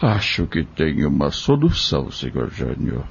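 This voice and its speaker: Homem interpretando médico brasileiro bondoso, voz bem grave. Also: male voice, a bass voice, huge pitch swings, gravelly, slightly rough, exaggerated voice. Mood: caring, reassuring. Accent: Brazilian. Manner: slow, explanatory, gentle counsel. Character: kindly male doctor, patient, caring spiritist. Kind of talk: audio drama acting. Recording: dull lo-fi audio.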